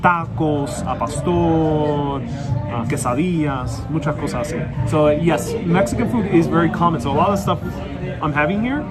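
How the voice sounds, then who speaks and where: A man's voice: a little high, moderate pace. A man from New York with a New York accent, hosting a live street vlog outdoors in a city, chatting upbeat and reading viewer comments aloud, with a clip-on wireless mic. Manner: chatty and upbeat, switches between English and Spanish. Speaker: travel vlogger, live-stream host. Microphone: wireless clip-on mic on a phone gimbal. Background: loud music.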